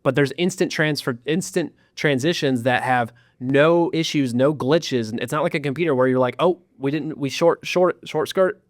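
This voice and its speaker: A man recounting a story in casual podcast talk, animated, with sarcastic asides. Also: on the high side, normal speed, few pauses, dry recording, clean studio sound. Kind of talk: casual chat.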